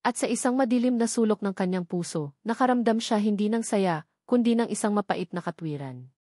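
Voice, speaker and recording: A woman's voice: mid-range voice, fairly quick, warm tone, no room sound, clean studio sound. A woman with a Filipino accent narrating a scripted love drama in a solemn, dramatic way. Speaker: storyteller narrator.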